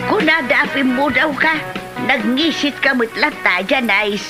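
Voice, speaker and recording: A woman's voice: sits high, lively intonation, measured pace, loud music behind the voice. A woman with an Ilocano accent playing a nagging, complaining, blunt village auntie in a comedy drama, full of exclamations. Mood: grumbling.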